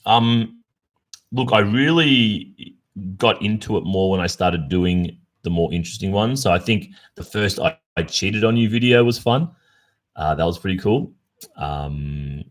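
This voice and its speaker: An Australian man hosting a casual livestream Q&A, chatting informally and reflectively. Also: sits low. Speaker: ASMR roleplay creator and livestream host.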